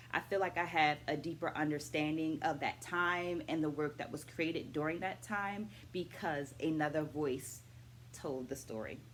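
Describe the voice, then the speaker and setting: A middle-aged woman talking through a book for her viewers in a measured, deliberate, instructive way. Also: low-pitched, a little hiss or hum. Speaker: book-review vlog host, dance educator. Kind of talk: educational book discussion to camera.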